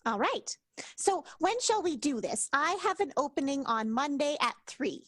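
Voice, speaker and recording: A woman plays a polite but calculating vaudeville performer in a radio comedy. Her voice is high and dryly recorded, careful and matter-of-fact with small hesitations. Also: big pitch swings, normal speed, fairly bright tone, no echo, studio quality. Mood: polite, scheming. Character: polite, calculating vain female 1920s performer. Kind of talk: improvised radio comedy acting.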